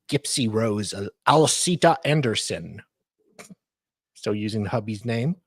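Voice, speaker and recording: A man co-hosting a talk stream, reading court documents formally in a measured, deliberate way, with some casual chat. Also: medium pitch, no echo. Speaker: co-host and reader of legal documents.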